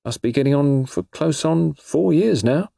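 A man voicing a respectful, obliging porter in an audiobook, deferential and unhurried. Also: a little high, lively intonation, vocal fry, mellow, no echo, clean studio sound, pitched above the performer's own voice, heavily acted. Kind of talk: audiobook character voice.

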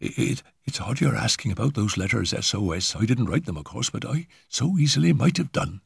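A man voicing a frightened young woman in a storybook reading, talking in a hurried, halting, nervous way. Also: fairly high pitch, big pitch swings, gravelly, husky, no echo, studio quality, pitched above the performer's own voice, full character voice.

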